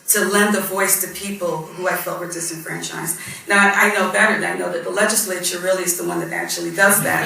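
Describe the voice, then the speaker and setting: Gravelly-voiced woman in an echoey hall. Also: lowish voice, bright, forceful tone. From born in Guyana, raised in Barbados. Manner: earnest and reflective, with frequent ums. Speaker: judge on a panel. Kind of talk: personal story at a panel discussion. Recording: echoey hall, mic at a distance, faint noise.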